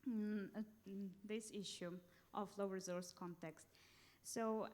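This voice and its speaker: A woman's voice: mid-range voice, darkish tone. A young woman from Uzbekistan giving a formal conference presentation into a handheld mic in a large hall, careful and hesitant with fillers.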